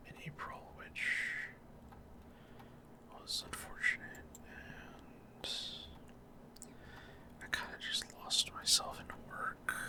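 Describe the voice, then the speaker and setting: A man whispering casual, rambling gameplay commentary in a hesitant, breathy ASMR style. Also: very high-pitched. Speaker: gaming video creator.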